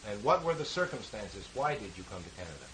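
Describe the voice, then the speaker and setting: A man's voice: fairly low pitch, very animated, fast talker. A man conducting an oral history interview, patiently prompting and repeating his questions. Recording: hissy 1970s tape recording.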